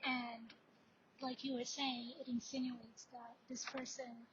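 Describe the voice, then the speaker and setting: A woman's voice: a little high, little pitch movement, slow pace. A young woman in her twenties, a student mentor speaking earnestly in a podcast discussion. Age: young adult. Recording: dull lo-fi audio, faint noise.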